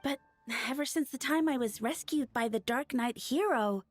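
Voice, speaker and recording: A woman's voice: sits high, moderate pace, no room sound. A woman voicing a lovestruck, easily flustered young flower-shop girl in a video game, dreamy and hesitant. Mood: confessing, wistful. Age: young adult. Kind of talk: video game character voice acting. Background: game music.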